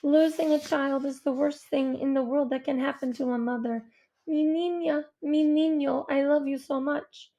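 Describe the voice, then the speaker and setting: A woman voicing a warm, emotional middle-aged mother who speaks tenderly and tearfully. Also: sits high, fairly even intonation, moderate pace. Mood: grieving tender. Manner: tender, tearful, Spanish endearments.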